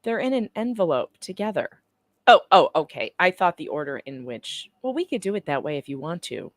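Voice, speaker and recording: A woman's voice: on the low side, very animated, a little fast, no echo. A woman reading transcript lines aloud casually, with playful, teasing conversational delivery. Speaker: guest co-reader. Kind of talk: casual dramatic reading of court transcript with banter.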